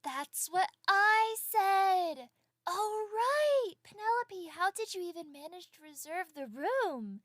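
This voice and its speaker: A bubbly, friendly young castle girl in an audio drama. Her voice is extremely high and exclaiming, recorded close and dry. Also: big pitch swings, slow pace, a bit bright, no room sound, exaggerated voice. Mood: cheerful.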